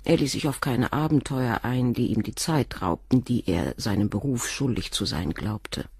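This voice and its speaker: An actress playing a composed middle-aged doctor's wife and former nurse, speaking frankly and in a measured way in a radio drama. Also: female voice, very deep voice, darkish tone, slightly rough, no room sound, studio quality, exaggerated voice. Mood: candid. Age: 40s. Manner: measured, frank, controlled confession. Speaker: witness questioned by the inspector.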